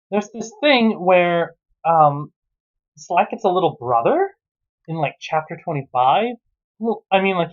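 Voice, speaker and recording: A commentator ranting sarcastically and casually about a story, exasperated and swearing freely, on a dull lo-fi recording. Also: medium pitch. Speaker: host reading and mocking an amateur story. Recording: dull, muffled lo-fi sound.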